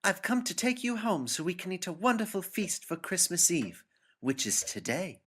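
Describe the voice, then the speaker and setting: A woman's voice: lowish voice, big pitch swings, moderate pace, a bit bright, a little hiss or hum. A woman playing an earnest young boy, a dutiful nephew, in a comedic audio drama. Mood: earnest, cheery.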